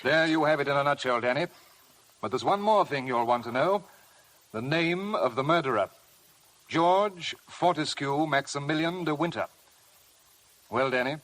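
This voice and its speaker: A man playing a sly, insinuating blackmailer in 1950s radio drama, smooth, mock-polite and taunting. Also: on the high side, lively intonation, moderate pace, long pauses, fairly bright tone. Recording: noisy vintage radio broadcast recording.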